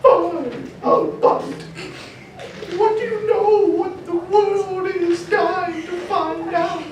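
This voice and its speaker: A woman's voice: very high-pitched, fairly even intonation, drawn-out words, a little hiss or hum, heavily acted. A woman playing a defiant, scornful superhero in a live dramatic script reading. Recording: very echoey panel room, distant mic.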